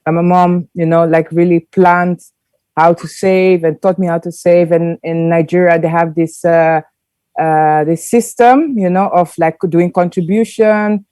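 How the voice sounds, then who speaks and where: Female panelist, low and warm, halting delivery. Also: fairly even intonation, clean tone, no room sound. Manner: hesitant, many fillers. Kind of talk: casual discussion.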